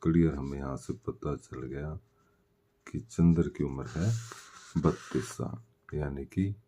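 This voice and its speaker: A man's voice: rumbling low, fairly even intonation, slow, mellow, breathy edge. A man teaching maths step by step, posing questions and answering them himself as he explains. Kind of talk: step-by-step worked-solution lesson.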